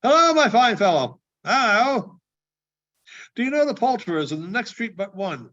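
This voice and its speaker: A man voicing an excitable, repentant old miser in a storybook reading, exclaiming and stammering as he repeats himself. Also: sits high, moderate pace, highs cut off, pitched above the performer's own voice. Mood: giddy, excited.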